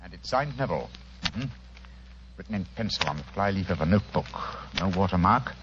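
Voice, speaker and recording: An actor playing a shrewd, courteous gentleman detective with a British accent, precise and analytical, in a vintage radio drama. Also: low-pitched, lively intonation, a little fast. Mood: scrutinising. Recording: noisy, muffled old radio recording.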